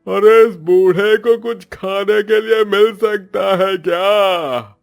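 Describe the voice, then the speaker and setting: A man voicing a poor, kindly old plant seller in a cartoon moral story, with a gravelly, husky, elderly voice. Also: sits high, slow pace, dry recording, clean studio sound, faint music behind, heavily acted. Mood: pleading.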